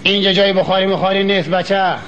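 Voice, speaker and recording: A crafty, impatient fortune teller in a stage comedy, with a strikingly high voice. He has a Kabuli Afghan accent and swings from grand mystical pronouncements to curt, bossy scolding. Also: little pitch movement, a bit bright, low-quality recording. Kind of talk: theatrical stage comedy dialogue. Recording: old stage play recording.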